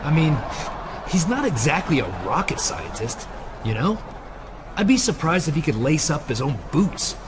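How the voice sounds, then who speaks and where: An actor playing a cocky, sneering wrestling villain in a video game, with noise behind him. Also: male voice, a little high, big pitch swings, normal speed. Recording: noisy game cutscene audio.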